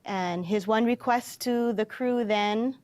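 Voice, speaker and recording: A woman's voice: a little high, takes it slowly, a little mellow, no room sound. A middle-aged woman from Hawaii, an educator, telling her story as a studio interview guest. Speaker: guest, educator and canoe captain. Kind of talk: recounting personal story in interview.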